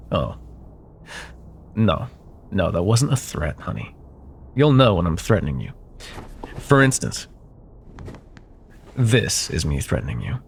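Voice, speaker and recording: A man's voice: deep voice, very animated, slow, gravelly, pauses often, a little hiss or hum, heavily acted. A man playing an arrogant, possessive corporate CEO ex-lover in a cyberpunk ASMR roleplay, sardonic and menacing, with condescending pet names. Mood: playful menace. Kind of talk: ASMR roleplay, dramatic monologue.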